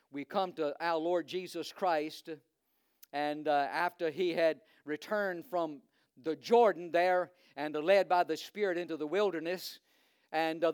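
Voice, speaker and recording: Virginian guy preaching, high clear voice, gets fired up, lots of uh's. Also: fairly bright tone, no room sound, studio quality. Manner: fervent, rising to emphatic preaching with frequent uh fillers. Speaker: visiting preacher. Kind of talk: sermon.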